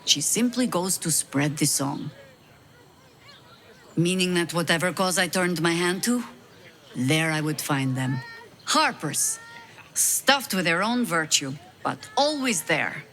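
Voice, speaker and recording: An actress voicing a weary, wry middle-aged woman agent in a video game, measured and self-deprecating, over music. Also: on the low side, fairly bright tone. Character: weary, wry veteran high-ranking woman harper agent. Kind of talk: video game dialogue acting, reflective storytelling.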